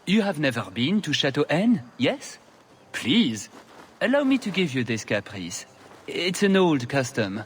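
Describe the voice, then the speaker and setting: An actor playing a courtly noble lord in a fantasy video game, pompous, formal and smugly gracious. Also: male voice, on the high side, sing-song, a little slow, slightly rough, full character voice.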